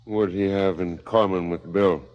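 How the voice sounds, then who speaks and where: A man's voice: low voice, fairly even intonation, moderate pace, darkish tone, lightly acted. An actor playing a weary former professor turned corrupt businessman in a radio drama, terse, dry and defensive. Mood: uneasy. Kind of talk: 1970s radio drama acting. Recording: slightly dull 1970s radio recording.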